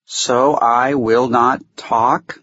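A man voicing a small, solemn alien elder in an audiobook, slowly and simply, in a high, thin but distinct voice. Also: fairly even intonation, fairly bright tone, slightly rough, no room sound, lo-fi sound, exaggerated voice. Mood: solemn, pleading. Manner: slow, simple careful speech.

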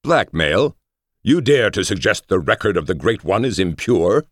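Audiobook role: jovial, theatrical lord, played by a male narrator, raised pitch, lively swings, exclamatory delivery. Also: normal speed, gravelly, dry recording, studio quality, heavily acted. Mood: mock outrage. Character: flamboyant, mock-outraged lord, jovial old friend. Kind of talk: audiobook character voice.

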